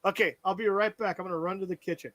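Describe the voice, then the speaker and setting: A man's voice: high voice, fairly even intonation, very fast, fairly bright tone, no echo. A man co-hosting a live stream in casual chat, laid-back and joking, laughing often. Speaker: stream co-host.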